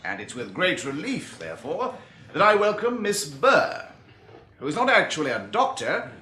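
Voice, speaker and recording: An actor playing an earnest, resolute committee chairman making a formal, measured address to survivors in a radio drama. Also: on the high side, wildly swooping pitch, bright, forceful tone, slight background noise, exaggerated voice. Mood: formal, courteous. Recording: roomy, echoing meeting hall.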